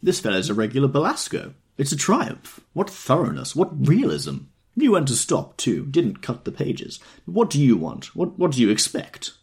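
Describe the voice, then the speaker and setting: Guy putting on a tipsy stout middle-aged dude, all worked up, voice jumping around, kinda husky. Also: medium pitch, normal speed, hardly any pauses, voice pushed up, heavily acted. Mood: excited. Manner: excited, insistent, repetitive. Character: drunk stout middle-aged man, excitable.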